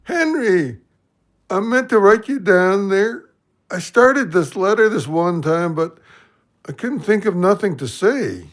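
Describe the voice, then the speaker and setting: A man's voice: high-pitched, expressive. A man acting in an audio play as a dim, good-natured, hungover drinking buddy with a Canadian accent and a plaintive manner. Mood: slow, puzzled. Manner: slow-witted, plaintive, ungrammatical.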